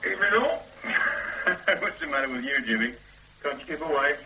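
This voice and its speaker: Radio play: a laid-back copper, bright lively voice, dry jokes to pass a long night, faint hiss. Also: male voice, on the high side, normal speed, full character voice, rough recording. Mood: casual. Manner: dry, chatty, joking. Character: easygoing male police constable on a stakeout. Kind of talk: 1970s radio drama acting.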